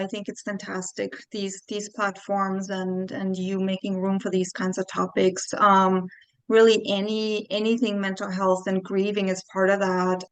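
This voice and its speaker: Gravelly woman. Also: medium pitch, dry recording, poor audio. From Austria. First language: German. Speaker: clinical psychologist guest. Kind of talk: interview answers.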